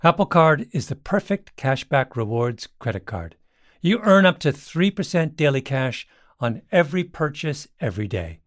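A man's voice: a little high, big pitch swings, normal speed, no echo, studio quality. A man reading scripted advertisements as an announcer. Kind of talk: scripted ad read.

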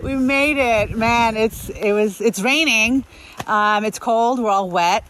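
A woman thru-hiker recording a reflective video diary outdoors, talking in a halting way with many fillers and restarts. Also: fairly high pitch, fairly bright tone, a little hiss or hum, low-quality recording. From Los Angeles, USA. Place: outdoors on a long-distance trail.

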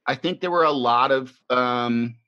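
A man's voice: medium pitch, pushed and bright, no echo. Rambling, reflective middle-aged man. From Illinois, US Midwest. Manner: rambling, halting, frequent restarts, swears. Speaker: podcast host. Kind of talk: casual, reflective conversation. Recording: slightly muffled dry recording.

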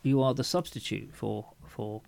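A man's voice: fairly low pitch, steady tone, normal speed, vocal fry, a little mellow, dry recording. A man co-hosting an informal, reflective discussion, feeling his way through sentences and often restarting them. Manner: hesitant, searching for words, repeating sentence openings. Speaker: podcast co-host.

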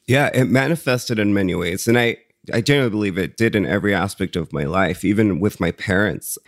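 Deep-voiced soft-spoken male guest. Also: fairly quick, no room sound, studio quality. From Mexico. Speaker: interview guest, mental health advocate. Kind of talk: reflective interview answers.

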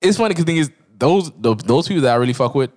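A man's voice: a little high, expressive, racing, a little mellow. A young man co-hosting a podcast who cuts in with jokes, laughs often and swears casually. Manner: joking, interjecting, laughs often. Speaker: podcast co-host. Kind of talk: casual chat.

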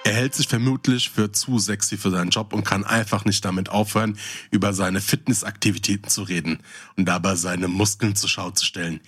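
A man's voice: lowish voice, steady tone, a little fast, fairly bright tone, soft background music. A man co-hosting a podcast, switching between casual chat and reading out short descriptions. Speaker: podcast co-host.

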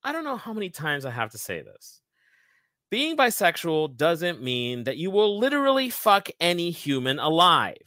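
A man's voice: fairly high pitch, wildly swooping pitch, moderate pace, pushed and bright, no echo, clean studio sound. A young man hosting a podcast fact-check segment, delivering it in an emphatic, sassy way. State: recovering from lost voice. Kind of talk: scripted segment read, lively.

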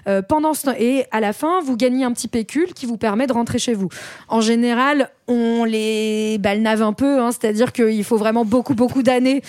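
A woman's voice: a little high, fairly even intonation, moderate pace, darkish tone, smooth, no echo, studio quality. A woman co-hosting a casual podcast, explaining at length with frequent hesitations and self-corrections.

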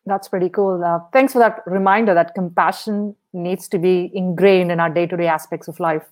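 A woman hosting a podcast interview, with upbeat, brisk wrap-up phrases. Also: lowish voice, steady tone, darkish tone. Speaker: podcast host.

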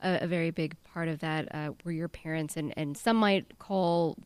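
A woman's voice: deep voice, fairly even intonation, moderate pace, clear, resonant tone, no echo. A woman hosting a radio show, presenting and interviewing in a conversational broadcast style. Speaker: radio host and news anchor.

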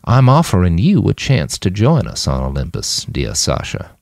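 A man's voice: deep voice, huge pitch swings, normal speed, vocal fry, a little mellow, dry recording, studio quality, heavily acted. A man voicing a charismatic, amiable male tempter in an audiobook, grand and persuasive in manner. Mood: grand coaxing. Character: Jim, charismatic amiable man tempting a follower toward godhood.

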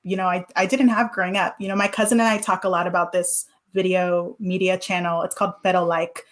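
A woman's voice: on the low side, flat delivery, brisk. A woman in her thirties, a guest in an interview, talking thoughtfully about her past with many fillers. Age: 30s. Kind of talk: reflective interview talk.

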